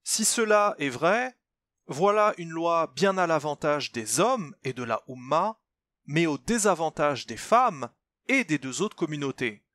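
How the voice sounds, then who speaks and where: A man's voice: a little high, animated, a little slow, fairly bright tone, no room sound. A man giving a scripted, step-by-step explanation as a presenter, didactic and emphatic, speaking directly to the listener. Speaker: presenter of a religious commentary channel. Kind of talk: scripted explanatory narration, step-by-step textual analysis.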